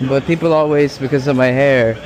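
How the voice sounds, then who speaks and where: A man's voice: medium pitch, fairly even intonation, brisk. A man in his thirties from Sweden making breezy, self-sharing small talk with a stranger outdoors on a city street. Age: 30s. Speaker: dating coach approaching a stranger. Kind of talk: casual street conversation. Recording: busy street crowd noise.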